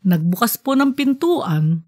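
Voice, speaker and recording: A woman's voice: a little high, big pitch swings, a little slow, a little mellow. A woman voicing a polite, earnest young child in an audiobook story. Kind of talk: audiobook character dialogue.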